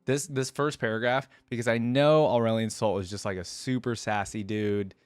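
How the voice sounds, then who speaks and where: A man's voice: mid-range voice, steady tone, normal speed, smooth, dry recording. A man reading a story aloud as a reaction creator, breaking into casual commentary to pick it apart. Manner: stops to dissect and paraphrase what he reads. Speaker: video creator reacting to game lore.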